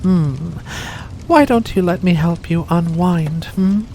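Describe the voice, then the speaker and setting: An ancient vampire played by a woman in an ASMR roleplay, speaking very slowly in a lowish register. The manner is silky and genteel, all coaxing endearments over a predatory hunger. A soft layer of ambient noise sits behind the voice. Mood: coaxing, curious. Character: ancient predatory vampire with furry paws, seductive, faux-kindly. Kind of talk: ASMR roleplay addressed to the listener.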